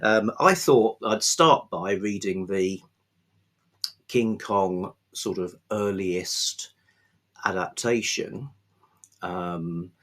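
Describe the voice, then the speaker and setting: A man hosting a book vlog, chatting casually without a script in a rambling, halting way with pauses mid-phrase. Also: fairly low pitch, fairly bright tone, husky. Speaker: host of a book review vlog. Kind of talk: casual unscripted book chat.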